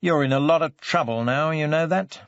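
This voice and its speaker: A man voicing a stern, forceful police detective in an audiobook, with a deliberate, biting, hectoring way of talking. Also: a little high, dry recording, dull audio, pitched above the performer's own voice. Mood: weary, patient.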